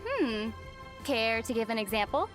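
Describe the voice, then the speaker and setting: A woman voicing a gentle, thoughtful young traveller heroine in a video game, with a soft, measured, musing delivery. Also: on the high side, very animated. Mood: lightly curious. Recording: music behind the voice.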